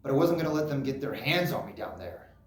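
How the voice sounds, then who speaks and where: A man playing a proud, stubborn father of nearly eighty in a solo stage drama, gruff and rambling as he brushes off concern. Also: mid-range voice, expressive, quick pace, a little hiss or hum, close to an everyday voice. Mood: stubborn, dismissive. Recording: roomy, echoing live recording.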